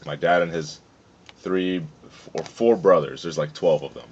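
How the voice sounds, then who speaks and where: A young man from Florida's Tampa Bay with a deep voice, chatting as a podcast guest in a laid-back way and laughing often. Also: normal speed, darkish tone, a little hiss or hum. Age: young adult. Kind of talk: casual interview chat. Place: outdoor covered porch at a farm nursery. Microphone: phone recording on the table. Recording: slightly dull lo-fi phone audio.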